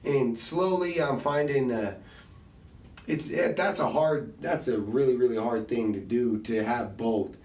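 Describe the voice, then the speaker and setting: A man hosting a casual conversation about music, talking in a relaxed, slangy way and thinking his ideas through out loud. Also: mid-range voice, a little slow. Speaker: podcast host and rapper. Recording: roomy sound, mic set at a distance.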